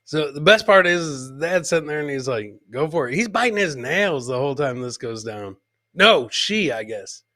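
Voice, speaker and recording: A man's voice: on the high side, lively intonation, moderate pace, sharp tone, no echo, clean studio sound. A man hosting a live comedy clip show, joking and teasing as he comments on videos and repeats his punchlines.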